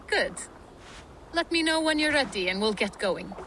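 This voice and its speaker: An actress playing a bold, bossy Norse ship captain in a video game, speaking in firm, clipped commands. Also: female voice, a little high, lively intonation, normal speed, bright, forceful tone, slight background noise.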